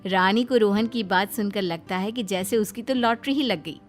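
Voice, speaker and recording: A woman's voice: medium pitch, moderate pace, soft background music. A woman narrator reading a scripted family moral story for a cartoon video.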